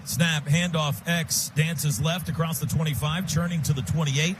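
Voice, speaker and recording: High-pitched American football announcer over heavy noise. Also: male voice, steady tone, moderate pace. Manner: clipped, urgent present-tense phrases. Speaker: radio play-by-play announcer. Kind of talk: live American football radio commentary. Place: stadium radio booth.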